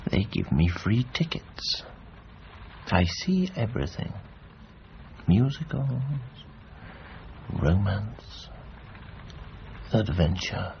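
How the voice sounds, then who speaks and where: Dull radio drama recording, disillusioned middle-aged man, very low voice, halting, bitter, faint hiss. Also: big pitch swings, full character voice. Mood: resigned. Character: weary, disillusioned former adventurer, bitter and self-blaming.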